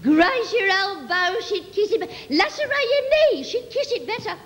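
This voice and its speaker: A woman's voice: very high voice, lively intonation, moderate pace, fairly bright tone, long reverb tail, pitched above the performer's own voice. A woman performing a comic monologue as a sentimental working-class Cockney, rambling and repeating earnestly while reminiscing. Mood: fond, nostalgic.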